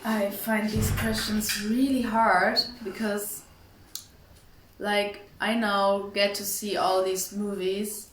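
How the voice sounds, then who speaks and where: A woman's voice: mid-range voice, flat delivery, slow. A young woman chats casually as an interview guest, hesitant and a bit apologetic in English. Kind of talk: casual chat. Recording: echoey room with background noise.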